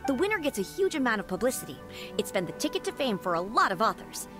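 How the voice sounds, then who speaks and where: An eager townsperson in a video game. She talks quickly in a high, lively voice. Music plays clearly behind her. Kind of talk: scripted video game dialogue.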